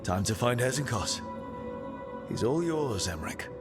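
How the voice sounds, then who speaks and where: An actor playing a wry, reassuring young adventurer leader in a video game, casual and plain-spoken. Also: male voice, mid-range voice, big pitch swings, a little slow. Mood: brisk, wry. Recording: music behind the voice.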